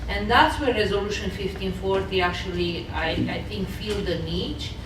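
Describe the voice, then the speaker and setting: A woman with a Romanian accent, a panelist giving a talk at a conference, speaking haltingly with many fillers and restarts. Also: medium pitch. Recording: echoey hall from far off, noisy.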